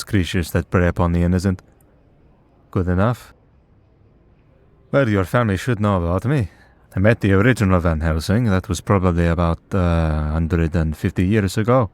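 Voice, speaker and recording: An immortal male vampire who hunts his own kind, acted by a man for an audio roleplay. His voice sits low, and he talks in a wry, conversational way with weary patience and dry exasperation. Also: a little mellow, no room sound, lightly acted. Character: centuries-old male vampire hunter in priest's collar, wry, patient. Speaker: voice actor. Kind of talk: scripted ASMR roleplay.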